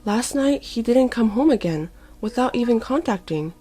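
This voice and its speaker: A woman's voice: fairly high pitch, animated, normal speed, mellow, pitched above the performer's own voice. A woman voicing an earnest wronged wife and mother in a story, firm and controlled. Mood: troubled. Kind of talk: dramatised story reading.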